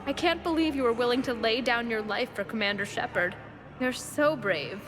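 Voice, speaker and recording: A woman's voice: fairly high pitch, normal speed, a bit bright, a little hiss or hum, natural voice. A woman playing a friendly, plucky young volunteer in a video game, chatty and open in manner. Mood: admiring. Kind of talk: game dialogue acting. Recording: reverberant, music behind.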